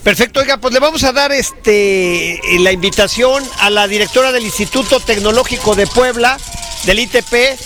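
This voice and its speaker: High-pitched Mexican man hosting a sports show. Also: moderate pace. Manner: polite, conversational. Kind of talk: live broadcast interview.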